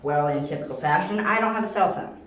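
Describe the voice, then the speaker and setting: A man playing a sharp, confident young detective who explains and insists, in an amateur audio drama. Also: high voice, quick pace, very noisy, close to an everyday voice. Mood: urgent. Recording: very echoey, roomy amateur recording.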